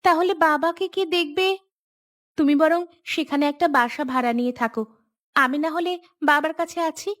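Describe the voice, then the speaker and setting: A woman voicing a young, dutiful and brisk daughter-in-law in a village cartoon. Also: sits high, a bit bright, no room sound, studio quality. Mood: questioning.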